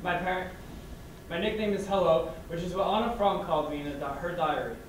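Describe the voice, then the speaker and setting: A man acting on stage as a survivor, reciting his lines in a measured way. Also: high-pitched, natural voice. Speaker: stage actor playing a survivor. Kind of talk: staged theatrical narration. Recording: echoey hall from far off, with hiss.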